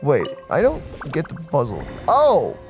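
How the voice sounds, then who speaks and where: Lively, chatty man co-hosting gameplay. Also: fairly high pitch, a little slow, music in the background. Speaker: let's play co-host. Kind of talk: casual gaming chat.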